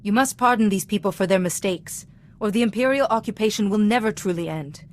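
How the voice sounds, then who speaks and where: A woman playing a calm, compassionate Jedi diplomat in a video game, with a measured, formal, reasoning manner. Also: medium pitch, slight background noise, lightly acted. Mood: earnest, firm. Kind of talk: video game dramatic voice acting.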